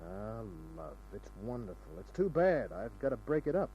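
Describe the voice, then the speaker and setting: An actor playing a tough, sardonic private detective in a clipped old-time radio crime style, on a noisy old broadcast. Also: male voice, medium pitch, sing-song, moderate pace, dark tone, highs cut off, natural voice. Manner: hard-boiled, sardonic, clipped. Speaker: actor playing the lead and narrator.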